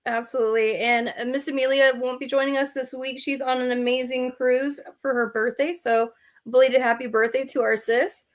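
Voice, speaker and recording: High-voiced chatty woman host. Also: level tone, moderate pace. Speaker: podcast host. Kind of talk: casual chat, interview.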